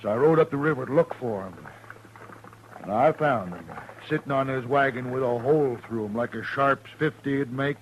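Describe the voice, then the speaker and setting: An actor playing a grumbling, insistent frontier hide dealer in a radio western, with a folksy rural American accent. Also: male voice, mid-range voice, moderate pace, close to an everyday voice. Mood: accusing. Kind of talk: 1950s radio western acting. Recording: lightly noisy old radio recording.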